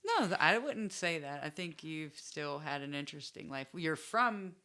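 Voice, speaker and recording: Low-pitched bright American woman, rambling. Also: animated, normal speed. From United States. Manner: enthusiastic, laughing, rambling with restarts. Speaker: podcast host. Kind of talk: casual chat.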